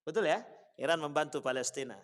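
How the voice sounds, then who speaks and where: A man delivers an Islamic lecture in a high voice. He is emphatic, repeating key phrases and putting rhetorical questions to his congregation. The sound carries a long reverb, as in a large hall. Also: fast talker. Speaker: Islamic preacher.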